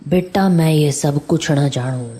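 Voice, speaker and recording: A woman's voice: sits low, unhurried, warm tone, breathy edge. A middle-aged woman playing a guarded, reluctant house caretaker in an audio horror drama. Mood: guarded, evasive.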